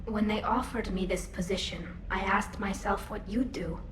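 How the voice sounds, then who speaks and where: A woman's voice: medium pitch, fairly even intonation, normal speed, close to an everyday voice. An actress voicing an earnest, hesitant young alien woman, a self-doubting admiral in a video game cutscene. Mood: tender, hesitant. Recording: roomy echo with clear background noise.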